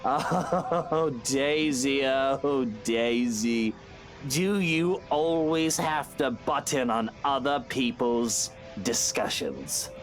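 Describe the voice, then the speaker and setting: An actor with a British accent playing an arrogant, foul-mouthed wealthy husband in a video game, sneering and theatrical. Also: male voice, mid-range voice, animated, very slow. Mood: contemptuous. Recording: background music.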